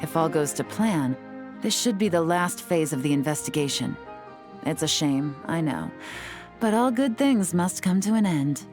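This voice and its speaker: A woman voicing a composed female secret agent in a video game, who speaks in a calm, measured and confident way and gives orders crisply. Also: deep voice. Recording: game audio with faint music underneath.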